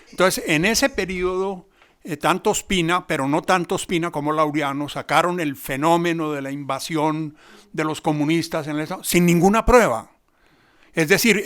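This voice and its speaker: Colombian guy being interviewed as an expert, highish voice, rambly emphatic explaining. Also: a bit bright. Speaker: expert commentator, theatre researcher.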